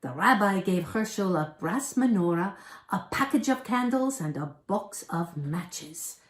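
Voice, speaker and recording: An older woman in her sixties reads a tale aloud, unhurried and animated. Her storytelling is dramatic, recorded in an echoey room. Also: medium pitch. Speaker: storybook reader. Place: indoors, home dining room. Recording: roomy home recording.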